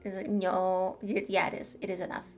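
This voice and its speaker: A woman's voice: medium pitch, monotone, a little fast, a little mellow. A woman giving casual, playful game commentary, talking to herself and tossing in little sound words. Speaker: gaming streamer. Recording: game music behind the voice. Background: video game music.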